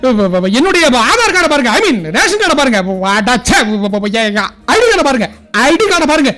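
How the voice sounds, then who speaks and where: A man acting as a flustered, lovestruck young college professor in a comic radio drama, excitable and prone to stammering. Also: very high voice, moderate pace, heavily acted. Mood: nervous, flustered. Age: young adult. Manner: excitable, stammering when nervous.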